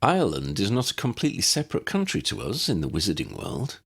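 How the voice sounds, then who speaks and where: A man's voice: lowish voice, huge pitch swings, quick pace, creaky, slightly rough, heavily acted. A man voicing an earnest young male wizard in an audiobook, explaining things patiently. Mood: earnest, explanatory. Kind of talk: audiobook character dialogue.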